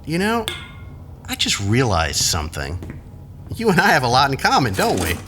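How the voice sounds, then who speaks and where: A man's voice: mid-range voice, huge pitch swings, moderate pace, sharp tone, a little hiss or hum. An actor playing a smug, scheming rival in an audio drama, glib and mocking as he talks. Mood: cocky, teasing.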